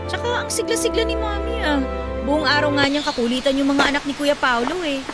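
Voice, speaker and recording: A woman's voice: high voice, brisk, close to an everyday voice. A woman acting as a devoted, stubborn younger daughter in a Tagalog family radio drama, speaking expressively over music. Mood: casual, caring.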